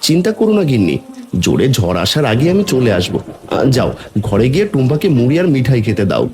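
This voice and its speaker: A man's voice: mid-range voice, huge pitch swings, fast, music in the background. A man voicing a mild, reassuring village husband and father in a cartoon. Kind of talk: cartoon voice acting.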